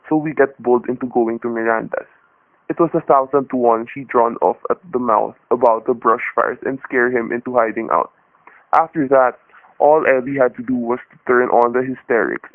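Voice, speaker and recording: An actor playing a steady, shrewd homicide detective in a radio thriller, with a wry, hard-boiled, folksy way of talking. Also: male voice, medium pitch, no room sound, close to an everyday voice. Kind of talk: vintage radio drama acting and noir narration. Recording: tinny old radio recording.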